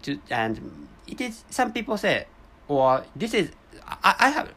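A man with a Japanese accent, a native Japanese speaker, talking unscripted in a second language, halting and searching for words as he corrects himself. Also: on the high side, huge pitch swings, gravelly, bright, forceful tone. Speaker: vlogger.

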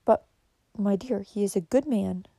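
Storybook role: motherly elderly nurse, devout and soothing, voiced by a female narrator, mellow, close dry sound. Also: mid-range voice, measured pace, creaky, breathy edge, dry recording. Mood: gently pleading. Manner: gentle, soothing.

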